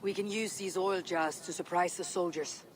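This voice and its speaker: A woman playing a determined Viking warrior, loyal to her kin, measured and commanding in a video game. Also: on the low side, steady tone, a little hiss or hum, natural voice. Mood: firm, businesslike. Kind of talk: video game cutscene acting.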